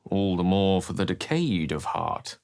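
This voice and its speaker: A man's voice: low-pitched, sing-song, a little slow, creaky, a little mellow, no echo, studio quality, full character voice. An actor playing a learned, wry male human wizard in a fantasy video game, speaking in an articulate, theatrical and bookish way. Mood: musing, wry. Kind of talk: fantasy game character lines and narration.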